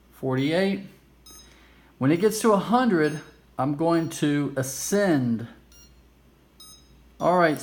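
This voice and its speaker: A man hosting a casual hands-on game demonstration, explaining things informally with a self-amused, nerdy enthusiasm. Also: a little high. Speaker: host of a retro game play-through video. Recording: clearly noisy amateur recording.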